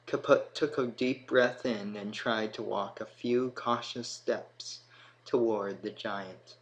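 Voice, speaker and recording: A man narrating a scripted fantasy story in a dramatic manner, with emphatic swells and hushed drops. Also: lowish voice, steady tone, takes it slowly, rough recording. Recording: clear background noise.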